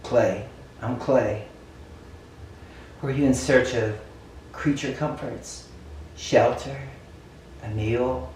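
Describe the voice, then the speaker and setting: A man's voice: mid-range voice, steady tone, very slow, pauses often, a little mellow, a little hiss or hum. A man reading story game dialogue aloud, with casual asides to his fellow reader. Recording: very echoey room, far from mic.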